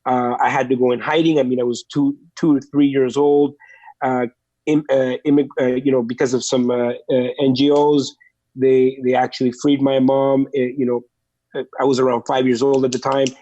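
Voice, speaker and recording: A man's voice: medium pitch, little pitch movement, moderate pace, warm tone, no echo, highs cut off. A man in his forties, from El Salvador, earnestly telling his life story as a podcast guest, with many fillers and restarts.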